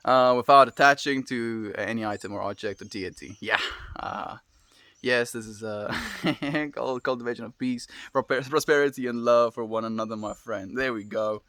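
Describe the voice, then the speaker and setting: Relaxed South African man musing on stream. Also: mid-range voice, normal speed, no echo. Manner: relaxed, musing, frequent soft laughs. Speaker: livestream host. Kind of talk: casual live-stream chat reading viewer comments.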